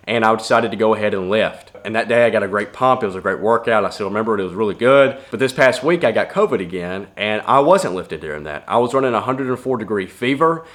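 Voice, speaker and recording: A man's voice: on the low side, animated, quick pace, a bit bright. A young man giving casual fitness advice to camera in a plainspoken, earnest way from his home gym. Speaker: fitness vlogger.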